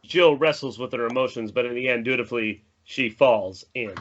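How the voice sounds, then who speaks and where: A man's voice: mid-range voice, brisk, a bit bright, muffled recording. A man reading a screenplay's stage directions as dramatic, descriptive scripted narration.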